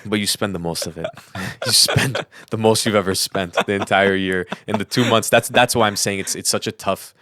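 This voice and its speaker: A man's voice: fairly low pitch, fairly even intonation, moderate pace, few pauses, pushed and bright, dry recording. A man co-hosting a podcast in casual, unscripted banter, joking and repeating phrases for emphasis, close on the microphone. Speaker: podcast co-host.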